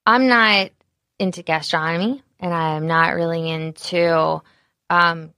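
A naturally loud woman with a bright, forceful tone and a lowish voice talks candidly about her work as an executive chef. She keeps it animated and chatty, with plenty of ums and likes. The sound is dry and close, with no room echo. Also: slow. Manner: animated, candid, many fillers. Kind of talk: casual interview conversation.

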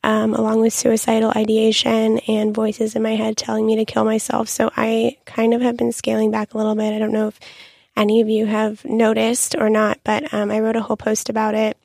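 A young woman hosting a podcast in a casual, chatty monologue, her voice raspy and full of ums. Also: on the high side, completely flat delivery, brisk, a little mellow, no room sound, studio quality. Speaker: podcast host.

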